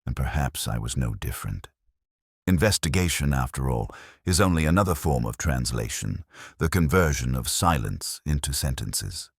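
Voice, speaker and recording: A man performs a melancholy male detective looking back on a case in scripted first-person narration. His voice is extremely deep, yet it moves expressively up and down. The delivery is measured, solemn and contemplative, in a dry, close sound without room echo. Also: expressive, gravelly, slightly rough, exaggerated voice. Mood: wistful, solemn. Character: weary, melancholy male English detective narrator, reflective and literary.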